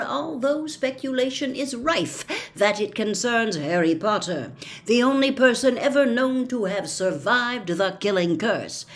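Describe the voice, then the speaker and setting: A woman reading a novel aloud as a storyteller, in a dramatic, deliberate, expressive audiobook style. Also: fairly high pitch.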